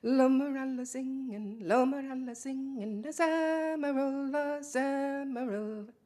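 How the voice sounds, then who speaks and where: High, loud, drawn-out singing Yiddish ancestor by a woman. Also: soft background music. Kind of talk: Yiddish folk song in stage reading.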